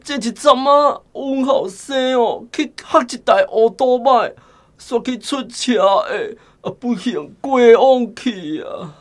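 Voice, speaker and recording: A woman's voice: on the high side, lively intonation, slow pace. A woman playing a grieving, insistent village mother with a Taiwanese accent. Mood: plaintive. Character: grieving village mother pleading for her dead son's baby.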